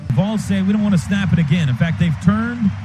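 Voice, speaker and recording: High-voiced man calling football on radio. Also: expressive, over music. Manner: rapid clipped calls that surge up on big plays. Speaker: play-by-play announcer. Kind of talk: live radio football commentary. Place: stadium radio booth. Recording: noisy live stadium broadcast.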